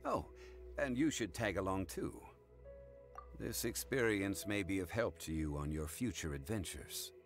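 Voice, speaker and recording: An actor playing a composed, scholarly gentleman consultant in an anime game, speaking formally and with measured gravity. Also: low-pitched, animated, soft background music. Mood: courteous, calm.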